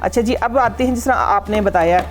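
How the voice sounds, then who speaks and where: A woman's voice: medium pitch, a little fast, soft background music. A woman from Pakistan, a guest expert on a studio health talk show, explains home remedies step by step, often saying "ठीक है" and "इंशाल्लाह".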